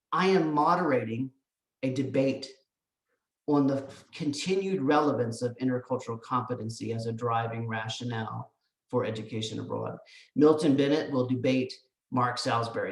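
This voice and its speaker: A man with a mid-pitched voice delivers an academic talk. He explains in a conversational way, adding asides and posing rhetorical questions. The sound is roomy and echoey, as if the microphone sits some way from him. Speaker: presenter, education abroad specialist. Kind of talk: academic presentation, semi-scripted lecture. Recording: roomy echo, distant microphone.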